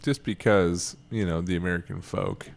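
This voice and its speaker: Co-host: young man, deep voice, teasing and quick with a joke. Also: creaky. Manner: wisecracking, teasing banter. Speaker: podcast co-host. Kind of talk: casual podcast chat.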